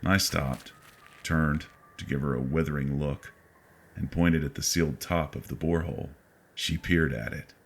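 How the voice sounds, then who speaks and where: A man's voice: a bass voice, fairly even intonation, moderate pace, slightly rough. A man reading a scripted first-person horror story as narrator. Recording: faint background noise.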